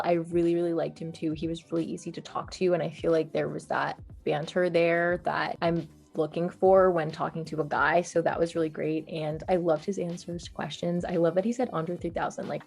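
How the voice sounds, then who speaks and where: Creaky-voiced, enthusiastic young woman. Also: lowish voice, a little mellow. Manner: enthusiastic, gushing. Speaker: dating show host and dater. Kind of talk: casual chat. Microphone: video call. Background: background music.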